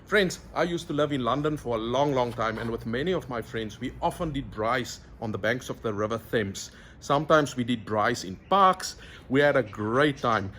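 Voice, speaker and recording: A man's voice: mid-range voice, animated, normal speed, fairly bright tone, a little hiss or hum. A middle-aged South African man with an Afrikaans accent, chatting outdoors as he shows how to braai, addressing viewers as friends. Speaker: cooking vlogger. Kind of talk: friendly outdoor cooking tutorial.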